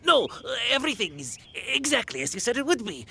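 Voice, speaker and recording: A sinister game underling, extremely raspy and sharp. The servile delivery creaks and swoops wildly in pitch. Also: female voice, very animated, brisk, heavily acted. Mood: obedient, eerie. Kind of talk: video game cutscene acting.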